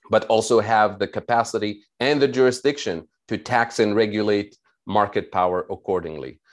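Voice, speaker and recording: A man from Tunisia giving an academic presentation on economic policy, explaining in a methodical way with frequent restarts. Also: fairly low pitch.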